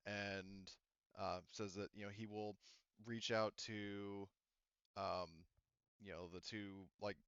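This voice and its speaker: Low smooth-voiced male game master. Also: level tone, slow, fairly bright tone, no echo, dull audio. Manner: rambling, heavy with fillers and restarts. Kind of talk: running a tabletop roleplaying game over voice chat.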